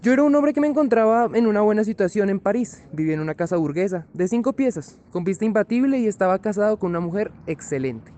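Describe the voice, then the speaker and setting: A man's voice: high voice, lively intonation, fast talker, hardly any pauses, warm tone. A man playing a solemn French castaway by choice, telling his story in a radio play. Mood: calm, reflective. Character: French self-chosen castaway, former comfortable family man, solemn. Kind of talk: radio theatre monologue.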